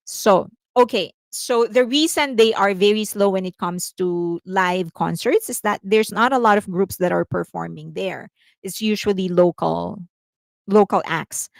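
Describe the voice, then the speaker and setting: Filipino-accented woman host, mid-pitch, chatty explainer, dry close sound. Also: dry recording. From Philippines. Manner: chatty and explanatory, laughs often, reads chat comments aloud. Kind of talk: casual commentary livestream.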